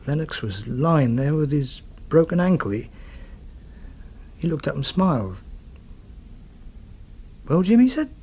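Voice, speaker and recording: An actor in a radio drama playing a former soldier who recounts wartime events in a halting, wry, understated way. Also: male voice, fairly high pitch, sing-song, darkish tone, slight background noise. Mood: grim, halting.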